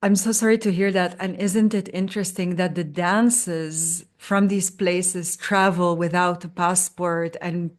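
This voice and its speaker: A woman from Lebanon talks through her story on a video call, pausing often as she explains. Her voice sits a little low for a woman. She speaks into a desk microphone in a room at home. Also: fairly even intonation. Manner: reflective, explains carefully with many pauses. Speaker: belly dance teacher, guest. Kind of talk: conversational interview.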